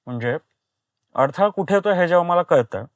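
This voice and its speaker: Indian guy podcast guest, lively up and down voice, dry sound, explains stuff casually, keeps checking you follow. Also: sits high, fairly quick, no room sound. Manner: explains step by step with frequent check questions like "right?". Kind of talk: casual explanatory interview talk.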